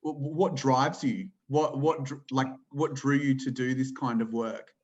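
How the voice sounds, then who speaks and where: A man's voice: mid-range voice, steady tone, unhurried. Male interviewer on dull lo-fi audio. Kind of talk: conversational interviewing.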